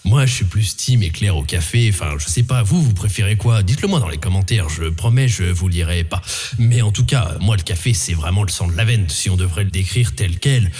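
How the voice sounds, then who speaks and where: A man's voice: low voice, animated, brisk, a little mellow, slightly rough, faint music behind. A man narrating tongue-in-cheek, slipping into rambling, irreverent casual asides.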